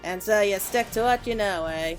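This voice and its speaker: A woman's voice: mid-range voice, normal speed, soft background music, lightly acted, poor audio. A woman voicing a plain-spoken veteran male craftsman with folksy dialect wording, reading game dialogue. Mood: plain-spoken, encouraging.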